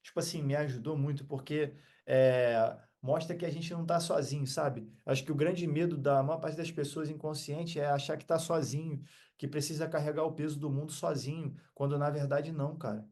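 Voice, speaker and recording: A man's voice: on the high side, steady tone, brisk, a little mellow. A man with a Brazilian accent talking casually as a podcast guest, reflective, earnest and motivational in manner.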